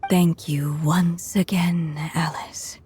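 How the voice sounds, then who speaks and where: An actress playing a gentle dying storyteller in a video game, speaking a tender, motherly, breathy farewell. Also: lowish voice, level tone, slow pace, slight background noise. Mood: wistful, weak. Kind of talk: dramatic video game voice acting.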